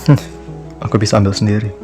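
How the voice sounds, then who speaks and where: A man's voice: lowish voice, little pitch movement, fast talker, over music, lightly acted. A man playing a skeptical, reluctant teenage schoolboy in a visual novel, with a hesitant, questioning delivery. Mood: skeptical, dismissive.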